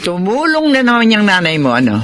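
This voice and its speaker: Casting: meddling, scolding older Filipina auntie, wildly swinging intonation, radio serial with clear street noise behind. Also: female voice, mid-range voice, wildly swooping pitch, fairly quick. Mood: disapproving. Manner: scolding, fussy. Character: nosy, disapproving older Filipina aunt. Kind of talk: Filipino radio drama acting.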